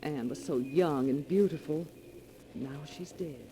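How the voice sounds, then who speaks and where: An actor playing an earnest, folksy small-town acquaintance in a 1940s radio acting style. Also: male voice, on the high side, unhurried. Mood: solemn. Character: friendly small-town male acquaintance bearing grim news. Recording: noisy vintage 1940s radio recording.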